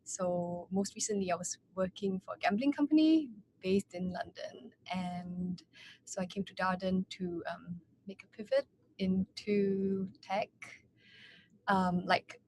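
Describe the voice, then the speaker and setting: A woman's voice: mid-range voice, steady tone, unhurried, darkish tone, a little hiss or hum, poor audio. A young woman from Singapore, an MBA student on a webinar panel, talking casually with many ums and likes. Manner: hesitant, lots of ums and likes.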